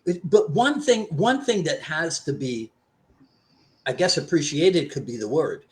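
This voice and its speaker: Caribbean-born guy talking martial arts, kinda high voice, keeps restarting his sentences. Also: lively intonation, measured pace. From Trinidad, raised in Barbados. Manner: thoughtful, restarts often, stresses key points. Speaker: guest martial arts instructor. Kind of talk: casual discussion.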